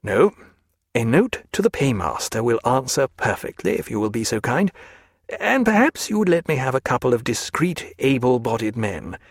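A man's voice: mid-range voice, slightly rough, dry recording, clean studio sound. A man voicing a weary, courteous male naval doctor who speaks in a formal, precise way, in an audiobook.

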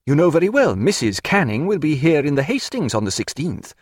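A man voicing a cool, defiant gentleman in an audiobook, with challenging, cutting, formal period diction. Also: on the high side, very animated, fairly quick, breathy edge, dry recording, studio quality, pitched above the performer's own voice. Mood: cold defiance. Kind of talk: dramatised audiobook character voice.

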